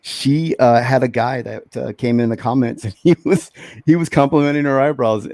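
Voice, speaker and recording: A man from Texas talks casually as a guest, joking around and laughing between his words. His voice sits mid-range and sounds mellow. It is recorded with a dry sound. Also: mid-range voice, moderate pace, dry recording. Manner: joking, laughs often, restarts. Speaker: guest, comic book inker. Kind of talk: casual chat.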